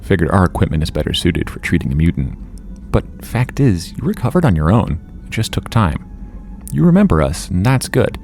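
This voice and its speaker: A man playing a calm, reassuring scientist hero in an audio drama, talking in a measured way. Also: low voice, soft background music. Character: calm, measured male superhero scientist tending a patient.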